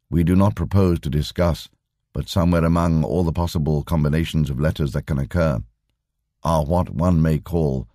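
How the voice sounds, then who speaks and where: An imperturbable lama , acted out by a male audiobook reader, speaks with formal patience. His voice is low and measured, recorded dry and close. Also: warm tone, dry recording, exaggerated voice.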